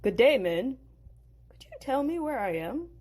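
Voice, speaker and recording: A woman's voice: sits high, very animated, measured pace, darkish tone, voice pushed up, heavily acted. Woman playing a young man, timid, awestruck, earnest, bible storybook drama. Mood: polite.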